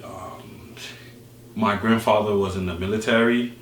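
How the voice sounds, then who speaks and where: A man's voice: lowish voice, fairly even intonation, measured pace. A young man from Haiti speaking as a podcast guest, explaining things informally with hesitant phrasing. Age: young adult. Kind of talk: casual interview talk. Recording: echoey room, distant mic, background noise.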